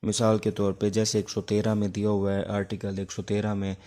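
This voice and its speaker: Male law lecturer, Pakistani accent, low register and very even delivery, informal and explanatory, mixing in English terms. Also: brisk. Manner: explanatory, code-switches between Urdu and English. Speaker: law teacher and advocate.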